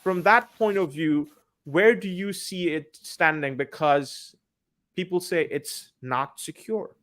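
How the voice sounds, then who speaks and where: Bright-voiced interviewing man. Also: fairly high pitch, slow pace, dry recording, studio quality. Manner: pointed, teasing questions. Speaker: interviewer host. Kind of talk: conversational interview questions.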